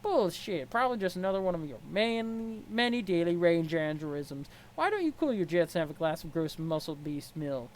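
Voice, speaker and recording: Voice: medium pitch, animated, normal speed, few pauses, lightly acted, low-quality recording. A performer voicing a brash, scheming young female alien with a sassy, sarcastic and pushy way of talking. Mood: taunting. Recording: clear background noise.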